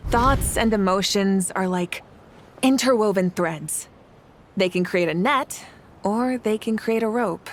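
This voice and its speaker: An actress playing a wise woman in a game, reciting teachings in a measured, poetic way. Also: mid-range voice, slight background noise, close to an everyday voice. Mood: reflective.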